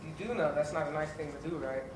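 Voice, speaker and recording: A woman's voice: low voice, steady tone, fast talker, far from the mic, lightly acted. Woman playing a concerned child, heard from afar. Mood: disapproving. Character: concerned, disapproving young child friend. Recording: noisy, echoey stage recording from afar.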